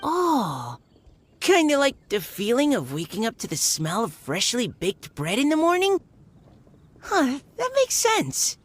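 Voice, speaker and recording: A woman's voice: a little high, wildly swooping pitch, a little slow, breathy edge, exaggerated voice. A woman playing a curious, friendly young woman in a video game. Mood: curious, pondering. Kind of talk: English game voice acting.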